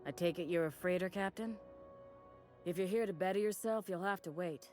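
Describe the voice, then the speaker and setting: An actress playing a folksy, brisk woman mechanic in a video game, with slangy, drily complaining talk. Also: lowish voice, a little mellow, faint music behind, close to an everyday voice. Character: folksy, brisk woman cosmetic mechanic aboard a space station. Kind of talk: video game character dialogue.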